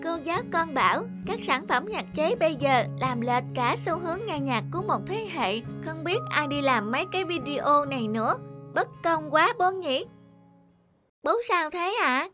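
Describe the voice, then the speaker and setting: A woman's voice: sits high, animated, normal speed, over music. A woman voicing an innocent, obedient young schoolboy in a cartoon, childlike, earnest and polite. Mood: earnest explaining. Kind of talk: cartoon dubbing.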